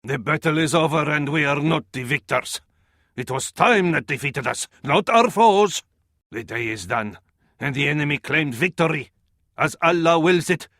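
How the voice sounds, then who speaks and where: An actor playing a devout, grandiose advisor to a sultan in a video game, with an Arabic accent and flowery, dramatic delivery. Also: male voice, medium pitch, vocal fry, pushed and bright, dry recording, studio quality, exaggerated voice. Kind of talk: theatrical video game voice acting.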